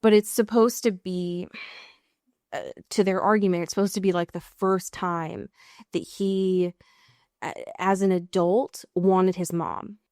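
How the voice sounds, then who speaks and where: A woman's voice: on the low side, fairly even intonation, a little slow, darkish tone, no echo, studio quality. A woman co-hosting a podcast in a casual recap chat, informal and wry, with some swearing. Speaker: podcast co-host.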